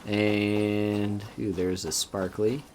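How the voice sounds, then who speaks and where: A man streaming a video game, chatting casually in a relaxed, soft-spoken and musing way as he plays. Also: lowish voice, extremely slow, a little hiss or hum. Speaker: VTuber streamer. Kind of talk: casual gameplay commentary. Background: game music.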